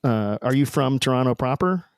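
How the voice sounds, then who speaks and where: American guy podcast host, average pitch, really dry recording, no room sound. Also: expressive, normal speed, clean tone, no echo. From southern United States. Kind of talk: podcast introduction and casual interviewing.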